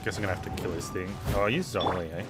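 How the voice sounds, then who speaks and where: A man streams a video game in a low voice. His commentary is casual and offhand, with dry asides. Music plays clearly behind him. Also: steady tone, very fast.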